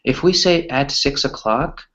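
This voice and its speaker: A man's voice: mid-range voice, unhurried, a bit bright, lo-fi sound. A man teaching an English grammar lesson conversationally, encouraging his students and often checking in with "right?".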